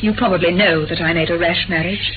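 An actress playing a guarded, distressed abandoned wife in 1940s radio drama, speaking formally and defensively. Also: female voice, lowish voice, steady tone, brisk, close to an everyday voice. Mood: distressed, confessing. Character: guarded, distressed woman abandoned by her husband. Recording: muffled vintage radio with music.

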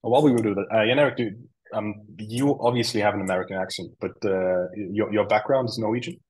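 Low-voiced man moderating a webinar. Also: fast talker, slightly rough, low-quality recording. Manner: steady, reads out audience questions.

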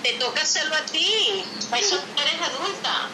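A woman's voice: sits high, animated, normal speed, reverberant, background noise. A middle-aged woman, the vlogger's mother, giving dry, teasing replies over a video call. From Venezuela. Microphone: phone video call.

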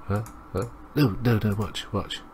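A man's voice: lowish voice, measured pace, a little mellow, slight background noise. A man reading a visual novel aloud as a let's play host, rambling and interrupting himself with commentary.

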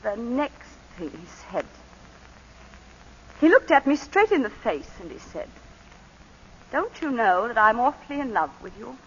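An actress playing a composed planter's wife recounting a shooting, in 1940s radio acting. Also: female voice, a little high, sing-song, unhurried, long pauses, slight background noise. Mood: measured. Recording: muffled 1940s radio broadcast.

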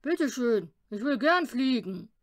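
A woman's voice: fairly high pitch, very animated, slow pace. A woman voicing a small, sleepy, unbothered male poodle puppy that talks politely in a storybook. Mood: polite, willing.

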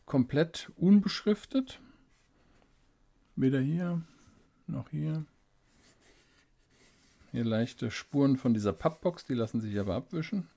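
Man, casual desk unboxing reviewer, mellow low-key voice, relaxed and unhurried, thinks aloud. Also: vocal fry. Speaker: fountain pen reviewer. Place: indoors at a desk, hands-only camera. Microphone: studio desk microphone.

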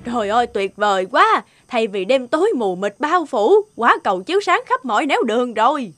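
A woman voicing a delighted, excitable village woman in an animated fairy tale, gushing and exclamatory. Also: on the high side, a little fast, faint music behind.

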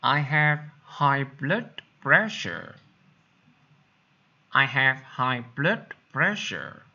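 A man with a Vietnamese accent leads an English drill at a very unhurried pace. His voice is bright and moves up and down with lots of expression. The recording sounds a little dull and lo-fi. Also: medium pitch, lively intonation. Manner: deliberate, repeats phrases for learners to copy. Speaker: English teacher. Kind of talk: slow bilingual drill, repeating each sentence.

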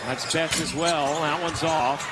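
A man doing live play-by-play for a basketball game, with quick excited calls on big plays. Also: on the high side. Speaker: play-by-play commentator. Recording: broadcast booth over loud arena crowd noise. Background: arena crowd and bouncing ball.

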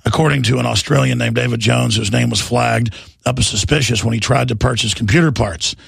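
Male talk radio host, middle-aged, hoarse and gravelly, relaxed and rambling, dry studio mic. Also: medium pitch, a little fast, no room sound. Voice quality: gravelly, raspy. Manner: relaxed, rambling, repeats words. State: hoarse after pneumonia. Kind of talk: talk radio monologue with ad reads. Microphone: studio microphone.

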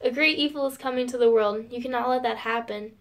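A woman's voice: a little high, fast talker, lightly acted. Weston, a woman as a grave dream visitor. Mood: ominous, urgent. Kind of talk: audio drama acting.

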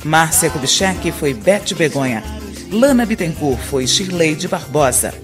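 A woman narrating a radio drama in a steady, informative way. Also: sits low, a little slow, music in the background.

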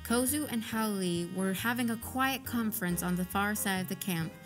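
A woman's voice: medium pitch, normal speed, over music. A woman narrator reading scripted third-person fiction for an audio drama.

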